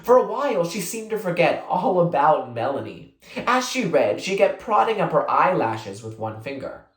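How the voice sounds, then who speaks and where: A narrator reading a children's book aloud in an expressive storytelling style. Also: medium pitch, moderate pace. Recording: roomy, echoing sound.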